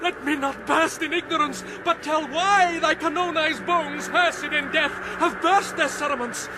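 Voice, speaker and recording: A man's voice: extremely high pitch, measured pace, noisy background. A man playing an anguished, reckless young prince in a radio drama, with theatrical, declamatory delivery. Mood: anguished, pleading. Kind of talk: classical radio drama acting.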